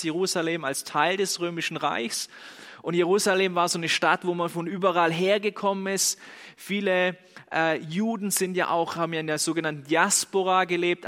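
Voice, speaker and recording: Bright, forceful young preacher, man. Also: fairly high pitch. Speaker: pastor. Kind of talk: sermon. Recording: long hall reverb.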